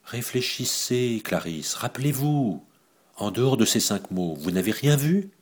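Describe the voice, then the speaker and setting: Man voicing a desperate young gentleman thief. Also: medium pitch, lively intonation, unhurried, pitched above the performer's own voice, close to an everyday voice. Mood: pleading. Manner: pleading, then shouting in rage.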